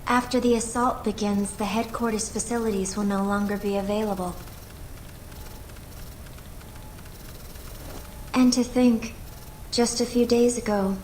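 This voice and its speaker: A woman voicing an earnest, reserved young female scientist in a video game, speaking in a measured, precise way. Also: mid-range voice, a little mellow, slight background noise, lightly acted, poor audio. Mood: wistful.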